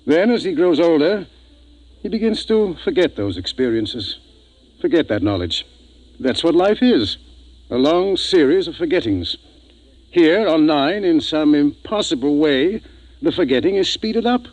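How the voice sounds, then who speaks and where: An actor playing a frank, thoughtful spaceship doctor in a 1950s radio drama, explaining things in a measured manner. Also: male voice, fairly high pitch, sing-song, a little mellow, rough recording. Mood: reflective. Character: thoughtful male ship's doctor, frank and philosophical.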